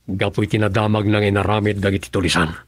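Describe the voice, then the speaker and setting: An actor playing a serious male aide in a radio drama, reporting in a steady, matter-of-fact way. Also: on the low side, quick pace, loud music behind the voice, natural voice.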